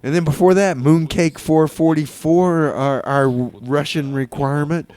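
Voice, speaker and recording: A man's voice: on the high side, steady tone, darkish tone. Rambling male community radio host. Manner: rambling, halting, repeats himself. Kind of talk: comedic community radio DJ patter between songs.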